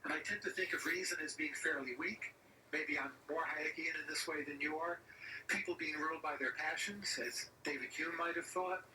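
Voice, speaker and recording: A man's voice: on the high side, fairly even intonation, normal speed. Questioning man in an echoey room. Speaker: host. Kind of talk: interviewer posing questions. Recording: echoey room from far off, loud noise.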